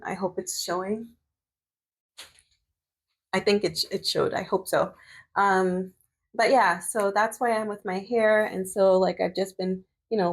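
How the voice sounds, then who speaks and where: A woman in her thirties vlogging while doing her hair, chatting casually with lots of fillers and restarts. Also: fairly low pitch. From Canada. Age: 30s. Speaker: medical student and vlogger.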